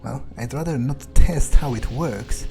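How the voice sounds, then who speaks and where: A man's voice: medium pitch, unhurried, music in the background. A man doing in-character gaming commentary as a cynical vampire, thinking aloud in a hesitant, dryly sarcastic, sweary way. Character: cynical, sardonic, foul-mouthed male vampire. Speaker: let's player speaking in character.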